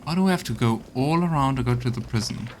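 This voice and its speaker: A man's voice: medium pitch, expressive, quick pace, slight background noise. A man giving a casual let's play commentary, thinking aloud as he goes. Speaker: game streamer.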